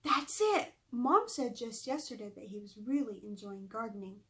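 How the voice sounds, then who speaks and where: A woman's voice: fairly high pitch, expressive, moderate pace, pushed and bright. A woman voicing curious, eager young girls in a storybook read-aloud.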